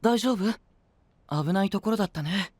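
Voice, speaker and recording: A gentle young male knight in an anime-style audio drama. He sounds boyish, composed and friendly, recorded dry and close. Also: sits high, normal speed, no room sound. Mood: reassuring.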